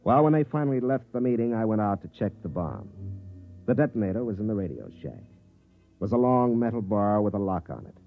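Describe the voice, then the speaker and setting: A world-weary, sardonic space captain from a 1950s radio drama. His low voice moves with lively swings of pitch, telling his story with bitter cynicism. It all comes through thin and narrow like a telephone, on an old broadcast recording. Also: fairly quick. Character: jaded, hard-drinking army space captain narrating his story. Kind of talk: 1950s radio drama acting with first-person narration. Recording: band-limited 1950s radio recording with music.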